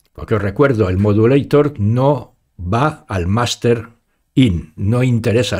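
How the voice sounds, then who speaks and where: A man in his sixties with an accent from Spain, giving an informal step-by-step software tutorial and talking each step through aloud into a close studio mic. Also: mid-range voice. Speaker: tutorial presenter. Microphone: studio microphone up close, with headphones.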